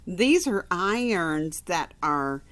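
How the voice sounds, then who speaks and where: A woman's voice: on the low side, big pitch swings, takes it slowly, fairly bright tone. A woman reading a storybook aloud to children, informal and conversational, with chatty asides and repeated phrases. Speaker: storybook reader.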